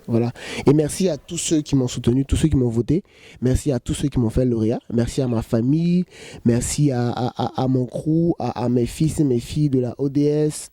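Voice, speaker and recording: A man in his thirties, a choreographer, answering interview questions in a relaxed, joking way and saying "voilà" often. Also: medium pitch, no echo. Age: 30s.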